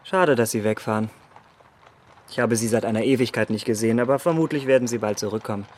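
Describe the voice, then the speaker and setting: An actor playing a vain, scornful young gentleman in a radio drama, speaking polished, formal lines in a haughty, mocking manner. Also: medium pitch, quick pace, a little hiss or hum, natural voice. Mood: breezy, flippant.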